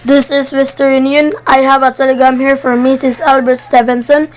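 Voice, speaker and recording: Girl playing telegram office clerk, high pitched, polite formal reading, amateur radio play. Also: level tone, moderate pace, faint music behind, lightly acted. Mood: formal, courteous. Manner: formal, courteous.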